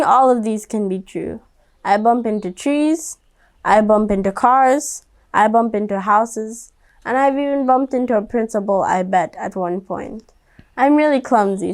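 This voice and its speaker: A woman's voice: medium pitch, a little mellow. A woman reading a picture book aloud to children, conversational and speaking directly to her young listeners. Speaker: storybook reader.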